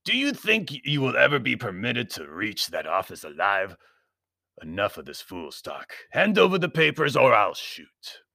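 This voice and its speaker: A man's voice: mid-range voice, wildly swooping pitch, normal speed, vocal fry, bright, forceful tone, no echo, clean studio sound, pitched above the performer's own voice, heavily acted. A man voicing a sinister foreign villain with a guttural accent, pompous and menacing, in a storybook reading. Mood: contemptuous, threatening. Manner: pompous, melodramatic threats. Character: sinister foreign villain, fanatical secret-society thug, menacing and theatrical.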